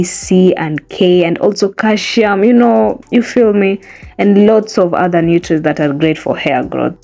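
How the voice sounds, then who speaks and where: A young woman whose first language is Swahili speaks in a mellow, slightly low voice, chatting casually and earnestly from a small bedroom. She keeps coaxing her viewers along with friendly check-ins. Faint music plays underneath. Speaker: hair care vlogger. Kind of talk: casual how-to chat to camera.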